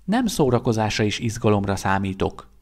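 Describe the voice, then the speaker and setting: A man's voice: fairly low pitch, sing-song, a little mellow. A man voicing a restless young male vampire guard who talks in an earnest, pleading way. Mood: earnest, eager.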